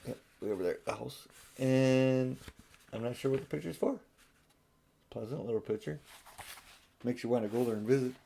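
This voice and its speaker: A man's voice: mid-range voice, moderate pace, vocal fry, pauses often, dark tone, a little hiss or hum. An older man reading a children's storybook aloud, with casual, chatty asides. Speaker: audiobook reader.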